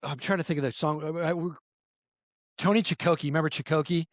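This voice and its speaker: Guest spot: raspy fifties man, rapid rambling storyteller, dry dull sound. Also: on the high side, fairly even intonation, no room sound. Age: 50s. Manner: rambling, many restarts and self-corrections. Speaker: podcast guest. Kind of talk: casual storytelling chat.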